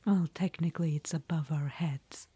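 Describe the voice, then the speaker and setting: A woman's voice: low-pitched, steady tone, normal speed, breathy edge. A woman voicing a scheming, dryly wry undead witch queen in a whispered audio roleplay. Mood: coaxing. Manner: soft unvoiced whisper.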